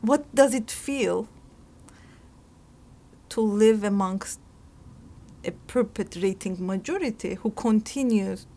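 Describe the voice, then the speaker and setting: A middle-aged woman historian from Turkey, speaking thoughtfully in an interview and often pausing to find her words. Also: mid-range voice, warm tone. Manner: thoughtful, searching for words, frequent restarts. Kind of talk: reflective interview answers.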